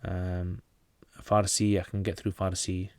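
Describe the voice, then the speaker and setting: Low-voiced man from North Pakistan. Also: flat delivery, measured pace, darkish tone, smooth, no echo. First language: Pashto. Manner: reads out questions then answers plainly, with frequent restarts. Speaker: channel host answering viewer questions. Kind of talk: casual livestream Q&A.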